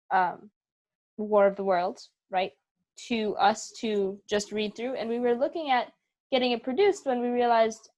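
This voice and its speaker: A woman chatting as an interview guest, thinking aloud and searching for her words. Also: mid-range voice. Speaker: artistic director, interview guest. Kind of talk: casual interview chat.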